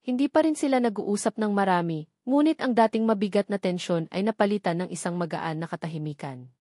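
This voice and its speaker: A woman's voice: medium pitch, warm tone, dry recording, clean studio sound. Woman narrating a romance drama. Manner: measured storytelling.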